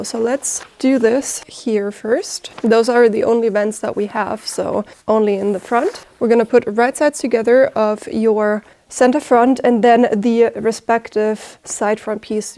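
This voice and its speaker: A woman's voice: fairly high pitch, dark tone. A young woman whose first language is German, talking through a sewing tutorial in an upbeat, chatty, explanatory way. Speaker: sewing teacher.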